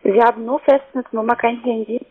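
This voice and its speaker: Polite high-voiced woman on a phone line. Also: steady tone, fairly quick, slightly rough. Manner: polite, businesslike. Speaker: call centre sales agent. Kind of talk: scripted-sounding phone sales talk, polite. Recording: thin phone-line sound.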